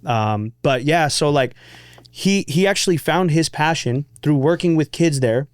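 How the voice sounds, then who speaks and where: A fairly high-pitched man hosts a casual podcast interview. He is chatty and slangy and rambles as he goes. His voice is recorded dry, with little room sound. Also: a little slow, no echo. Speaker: podcast host.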